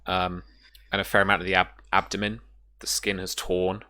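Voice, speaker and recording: Game master, male: deep, crisp-edged voice, halting descriptive narration, dry close sound. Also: level tone, bright, forceful tone, dry recording. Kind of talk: improvised narration of a tabletop game.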